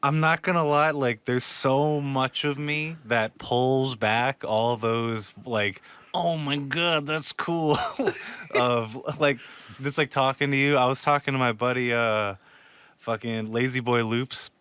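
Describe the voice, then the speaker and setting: A man's voice: mid-range voice, steady tone, slow, fairly bright tone, clear, resonant tone, no echo. A young man from the area, hosting a podcast in casual chat, laid-back, swearing often and laughing a lot. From Philadelphia area, USA. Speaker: podcast host.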